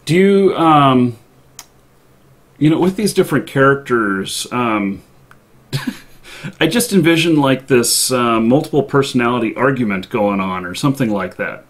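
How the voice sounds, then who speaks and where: A man hosting a casual live-stream interview, good-humored and halting as he puts questions to a guest. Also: medium pitch, animated.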